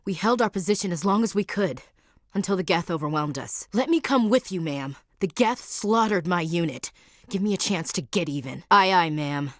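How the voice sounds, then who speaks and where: A woman's voice: fairly low pitch, expressive, moderate pace, dry recording, studio quality, lightly acted. An actress playing a tough, blunt young female marine in a video game, speaking in a direct, clipped soldierly manner. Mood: urgent. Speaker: game character.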